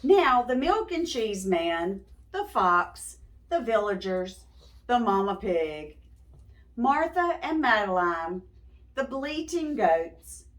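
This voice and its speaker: A woman's voice: medium pitch, sing-song, slow pace. A woman reading a story aloud to young children as a host, stopping in a teacherly way to prompt them with simple questions.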